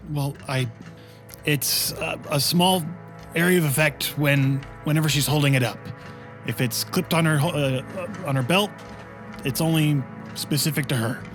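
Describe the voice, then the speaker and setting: A man acts out a casual, evasive soldier in a tabletop audio drama. His voice sits fairly high and he reports haltingly, with music clearly playing behind him. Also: natural voice. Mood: hesitant. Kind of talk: in-character tabletop roleplay. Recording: music bed under the voice.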